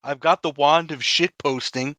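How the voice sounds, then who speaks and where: A man's voice: a little high, steady tone, normal speed, sharp tone, dry recording. A man chatting casually as a player in a tabletop role-playing game.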